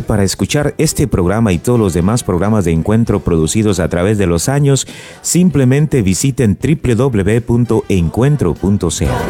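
A man's voice: lowish voice, warm tone. A man hosting a religious radio program, reading a formal scripted introduction. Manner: formal announcer delivery.

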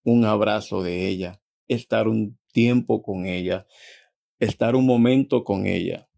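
Slow, gravelly Venezuelan man. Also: fairly low pitch, dark tone. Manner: measured, reflective, halting phrases. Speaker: church member giving a testimony. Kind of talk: devotional personal testimony.

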